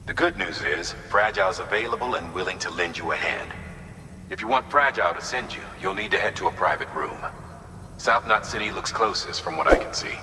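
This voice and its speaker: A calm, deep-voiced coordinator. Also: male voice, fairly quick. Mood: steady. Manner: calm, instructive briefing. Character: steady male mission coordinator on the radio. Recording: roomy, echoey with faint noise.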